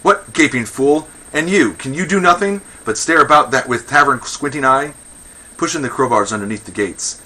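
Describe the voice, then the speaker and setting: A man's voice: lowish voice, measured pace, sharp tone. A man playing a pompous, indignant old Athenian magistrate in a verse comedy, declaiming with blustering contempt for women. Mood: barking orders. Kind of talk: verse comedy read aloud.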